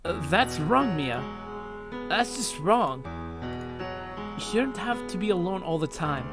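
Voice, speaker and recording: A man playing an earnest, protective schoolboy in a visual novel, pleading and halting, with dramatic delivery. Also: sits high, big pitch swings, over music, heavily acted.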